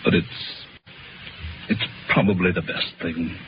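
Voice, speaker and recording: A man's voice: low voice, steady tone, unhurried. A man playing an anguished, guilt-ridden character, overwrought in 1940s radio drama style. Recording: noisy, muffled old radio recording.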